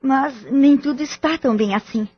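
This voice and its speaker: Anxious Brazilian mother played by a woman, formal audio-drama delivery, slightly husky, dull lo-fi sound. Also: high-pitched, normal speed. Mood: worried, confiding. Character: Idalina, anxious polite wife and mother. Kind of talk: audio-novel acting, formal scripted dialogue.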